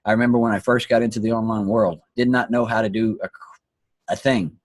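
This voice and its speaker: Hoarse, husky male host; earnest motivational speaker, low voice, dry close sound. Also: steady tone, brisk, no room sound. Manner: earnest, preachy, repeats phrases. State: hoarse, losing his voice. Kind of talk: motivational talk on a live online call.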